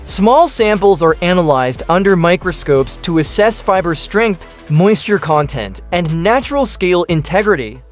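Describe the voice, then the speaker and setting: A man reading scripted documentary narration, explaining each step in steady, short listed phrases. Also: high voice, normal speed, few pauses. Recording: voice-over with faint background music.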